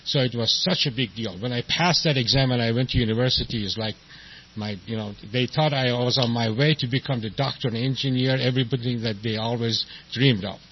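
A man in his sixties from Iran with an Iranian accent, giving a halting, self-deprecating personal testimony as the main speaker at a recovery meeting, full of fillers and restarts. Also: mid-range voice. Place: meeting hall with an audience. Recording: dull lo-fi recording with faint noise.

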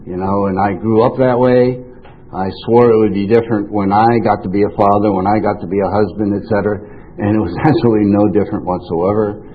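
An older man who grew up speaking French speaks in a fairly low voice through a thin, phone-like recording. He tells his own story to a group informally, circling back and interrupting himself as he goes. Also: steady tone, brisk, a little hiss or hum. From New York, United States. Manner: rambling, self-interrupting storytelling. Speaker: recovery meeting speaker. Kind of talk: informal personal testimony to a group. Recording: tinny band-limited radio-like sound.

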